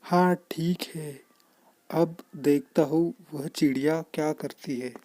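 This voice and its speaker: A woman's voice: low-pitched, steady tone, takes it slowly, warm tone, dry recording. A woman voicing an irritable, commanding king who gives harsh orders in a children's cartoon story. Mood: expectant. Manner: imperious, commanding.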